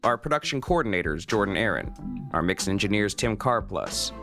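A man with a fairly low voice hosts a podcast in a conversational style. Faint music sits behind his voice. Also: sing-song, fairly quick. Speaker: podcast host.

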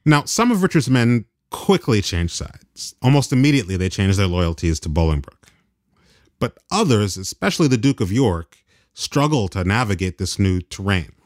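A male radio host narrates in a relaxed, conversational way. His voice is lowish and very melodic, recorded dry and close, with faint music underneath. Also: normal speed, dry recording.

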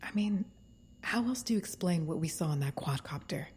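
A wary, analytical woman in an audio drama. Her voice is very husky and breathy, low and dark, as she questions things with principled skepticism. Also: lively intonation, brisk. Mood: suspicious, hushed.

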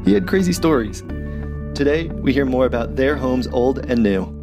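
A man hosts a hospital podcast, greeting listeners and quizzing his guests in a bright, friendly way. His voice has a gravelly edge. Guitar music plays clearly behind him. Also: medium pitch, animated, normal speed. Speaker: podcast host. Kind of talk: hospital podcast hosting and interviewing.

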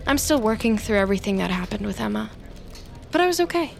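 A woman's voice: mid-range voice, lively intonation, fast talker, vocal fry, soft background music. A woman playing a flippant young office worker who is a killer, chatty and slangy, in an audio drama. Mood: wry storytelling. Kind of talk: conversational audio drama acting and first-person narration.

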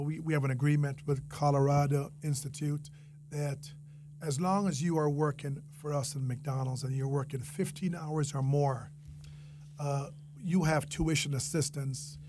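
A man with a Jamaican accent and a fairly high-set voice answers questions as an interview guest. He is earnest and conversational, restating phrases to stress them. A faint hum and noise sit under the recording. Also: fairly even intonation, moderate pace.

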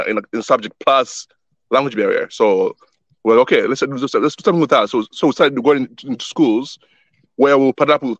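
Young male guest, relaxed and halting, dry close mic with a slightly muffled sound. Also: medium pitch, dry recording. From born in Wisconsin, grew up in Tanzania. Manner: relaxed, repeats words often while he thinks. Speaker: guest, youth soccer organization founder. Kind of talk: casual interview chat.

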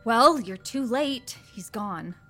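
A frank, easygoing crewmate in a sci-fi audio drama. Her voice is on the high side and her delivery casual and understated, with faint music behind it. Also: lively intonation, slow, a bit bright, exaggerated voice. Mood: concerned. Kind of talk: naturalistic audio drama dialogue.

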